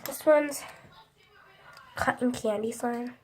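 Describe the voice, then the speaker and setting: A high-pitched teenage girl talks casually to her phone, her voice stuffy and congested from a cold. She rambles, full of likes and false starts. A faint hiss sits under the recording. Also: takes it slowly. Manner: rambling, many likes and restarts. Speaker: vlogger. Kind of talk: casual chat to camera.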